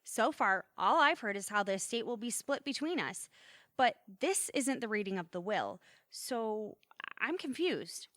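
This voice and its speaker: A woman's voice: on the high side, animated, a little slow, fairly bright tone, no echo, studio quality. A woman playing a reasonable but bewildered adult daughter in an audio drama, earnest and questioning, often pleading. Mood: confused. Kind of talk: audio theatre acting.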